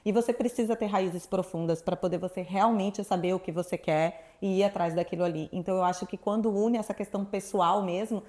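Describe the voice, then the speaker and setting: A talkative woman from Salvador, a guest sharing her story in a relaxed interview. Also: medium pitch, fairly quick, hardly any pauses. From Salvador, Brazil. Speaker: guest, sexuality professional. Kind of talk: casual interview chat.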